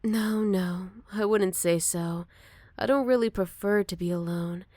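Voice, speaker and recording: A woman voicing a gentle, motherly older werewolf, wistful and protective, in a soft and caring ASMR roleplay. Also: mid-range voice, close to an everyday voice. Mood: gentle, curious. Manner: soft, caring, unhurried, slipping into whispers. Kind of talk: ASMR roleplay, intimate one-sided dialogue to listener.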